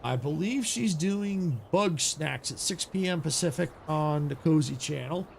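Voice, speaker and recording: A man streamer wraps up his session in loose, rambling chat, backing up and restarting his sentences. His voice sits a bit high and sounds heavily gravelly and raspy from hours of talking. A faint hiss runs under the desk-mic sound. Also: lively intonation, measured pace. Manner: rambling, many restarts and fillers. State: voice getting raspy. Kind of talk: casual stream sign-off chat.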